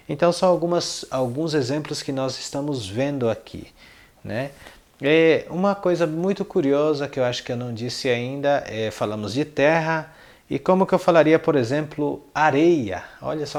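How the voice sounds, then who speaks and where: A man from Paraguay with a Paraguayan accent, a non-native speaker giving an informal lesson to viewers in a didactic way, repeating words and posing questions. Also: mid-range voice. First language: Spanish and Guarani. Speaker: language teacher and video channel host.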